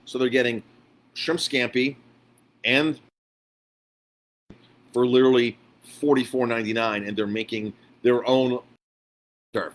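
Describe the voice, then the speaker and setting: A man giving a casual, unscripted live update as a restaurant owner, speaking informally and haltingly and often repeating himself. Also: on the low side, fairly bright tone. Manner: halting, repetitive, informal address to followers. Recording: clearly noisy background ambience.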